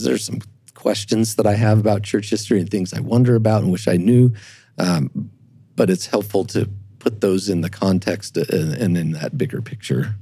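A man speaking as an expert guest in a podcast discussion, explaining things in a halting way with repeats and rephrasing. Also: low-pitched, dark tone, slight background noise. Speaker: guest church historian.

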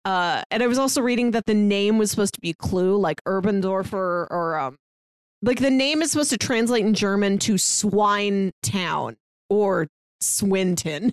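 A woman's voice: mid-range voice, smooth, no room sound. A woman co-hosting a podcast, chatting casually about films and sharing trivia. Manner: explains with trivia, quick asides. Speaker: podcast co-host. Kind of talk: casual movie-review chat.

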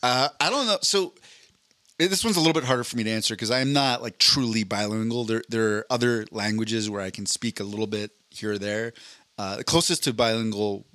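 A man's voice: fairly low pitch, a little fast, bright, forceful tone, no room sound. A man co-hosting a casual podcast, reading listener stories aloud and joking around with plenty of swearing. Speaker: podcast co-host.

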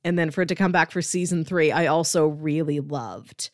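A young adult woman co-hosting a podcast in relaxed, conversational chat. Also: low voice, moderate pace, no echo, studio quality. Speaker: podcast co-host. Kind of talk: casual chat.

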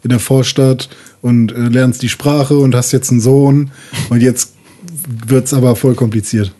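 A man's voice: medium pitch, fairly even intonation, a little slow, dark tone. A man chatting casually and improvising as a player in a tabletop roleplay.

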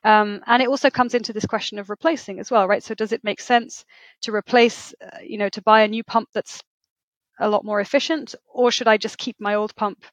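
A woman's voice: mid-range voice, steady tone, a little fast, no room sound. A woman speaking as an expert guest in a conversational interview, explaining things and often checking with a tag question. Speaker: interview guest, industry editor.

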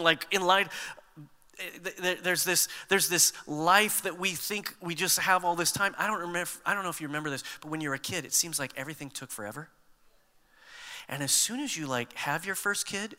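A man in his fifties preaching a sermon as a pastor, conversational and animated, mixing scripture with humor. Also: high-pitched, in a large hall.

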